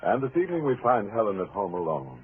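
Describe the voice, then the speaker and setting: A man's voice: lowish voice, wildly swooping pitch, fast, narrow, tinny audio, faint music behind. A man delivering scripted narration for a 1940s radio mystery drama. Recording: thin 1940s radio recording.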